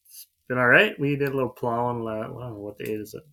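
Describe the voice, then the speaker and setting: A man's voice: medium pitch, steady tone, quick pace, creaky, bright, forceful tone. Easygoing male co-host, rambling casually. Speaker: podcast co-host. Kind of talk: casual chat.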